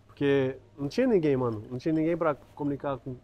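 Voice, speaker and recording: A man's voice: medium pitch, fast talker, lo-fi sound. A man from Brazil who grew up in the US, a guest chatting casually and hesitantly, often searching for words outdoors. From Brazil, raised in the US. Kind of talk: casual chat. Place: outdoor driveway, seated on a couch.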